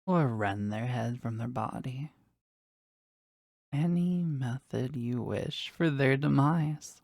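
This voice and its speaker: A woman's voice: a bass voice, gravelly, darkish tone, no echo, clean studio sound, heavily acted. A woman voicing a powerful, devoted, possessive demon in an audio roleplay, with formal, intimate phrasing addressed to a master. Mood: menacing, eager. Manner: slow, formal, reverent, intimate delivery. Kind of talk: scripted roleplay audio drama, addressed to the listener.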